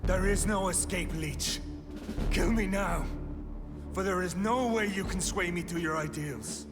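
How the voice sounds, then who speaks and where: An actor playing a defiant, fanatical vampire hunter in a video game, declamatory and scornful. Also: male voice, sits high, a little slow. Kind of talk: dramatic video game acting. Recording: long hall reverb, dramatic music behind.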